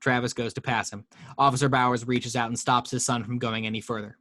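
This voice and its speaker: A man's voice: mid-range voice, little pitch movement, a little fast, sharp tone, no echo. Man reading screenplay action in a dry room. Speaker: reader of action descriptions in a table read. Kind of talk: scripted narration of screenplay directions.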